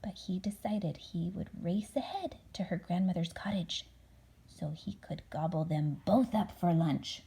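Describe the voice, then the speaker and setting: A woman reading a storybook to a child as a mother, dramatic and expressive, dropping into hushed tones for suspense. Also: fairly low pitch, unhurried, vocal fry, slightly rough, rough recording.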